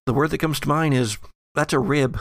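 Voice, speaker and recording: Male game master for horror tabletop play, gravelly, deliberate storyteller, very dry recording. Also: on the high side, dry recording.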